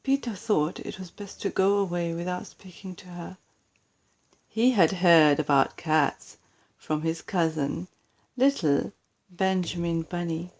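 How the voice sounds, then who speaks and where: A woman with a British accent narrating a children's storybook expressively, with deliberate dramatic emphasis on key words. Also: on the low side, a little mellow. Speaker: storybook reader.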